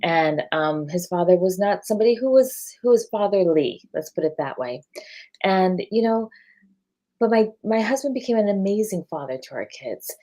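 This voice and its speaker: A woman's voice: mid-range voice, expressive, normal speed, warm tone. A woman on a video call panel speaking earnestly, often repeating words as she searches for them. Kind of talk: casual panel chat.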